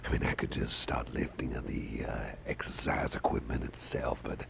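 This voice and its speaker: A man playing a big, gruff but caring boss in an ASMR roleplay, murmuring gently with a gravelly, often breathy voice and soft hesitations. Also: a bass voice, steady tone, moderate pace, full character voice. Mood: friendly, musing. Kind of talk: ASMR personal-attention roleplay.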